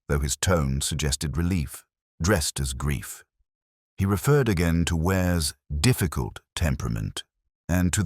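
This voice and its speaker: Brooding, world-weary inspector, played by a man, measured and reflective literary narration, extremely low voice, dry close recording. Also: no echo, exaggerated voice. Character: brooding, world-weary English police inspector recounting a case. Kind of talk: literary first-person scripted narration.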